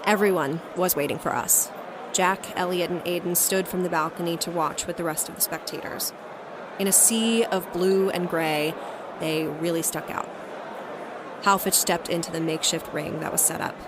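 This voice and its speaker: A woman's voice: lowish voice, steady tone, normal speed, background noise, natural voice. A woman playing a defiant, stubborn agent in an audio drama, who speaks bluntly and narrates her own story. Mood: somber narration. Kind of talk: audio drama dialogue and first-person narration.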